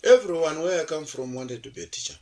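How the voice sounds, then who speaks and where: A man's voice: fairly high pitch, sing-song, fast, dark tone. A man from Zimbabwe, a university lecturer being interviewed, telling his life story in a chatty, digressive way with many fillers and restarts.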